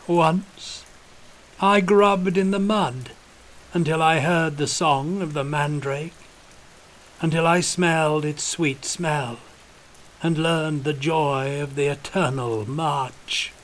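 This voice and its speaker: A man's voice: fairly high pitch, takes it slowly, a little hiss or hum, pitched above the performer's own voice. A man voicing a calculating, fanatical old regiment officer, wheezy and intoning like a preacher, in an audiobook. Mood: fervent. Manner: intoning, preacherly, rustic archaic turns of speech.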